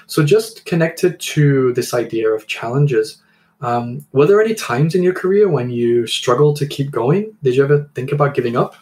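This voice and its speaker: A man in his thirties talks in a measured, reflective way, at a middle pitch. He sounds encouraging as he co-hosts a podcast for fellow English teachers. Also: lively intonation, darkish tone. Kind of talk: conversational podcast discussion.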